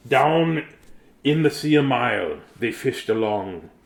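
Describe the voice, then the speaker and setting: A middle-aged man hosts a bedtime story reading. His mid-pitched voice swings up and down expressively and drops into gravelly fry, with a silly, jokey manner and sing-song cadence. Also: big pitch swings, slow. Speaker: storyteller and host. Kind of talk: playful bedtime story reading with joking asides.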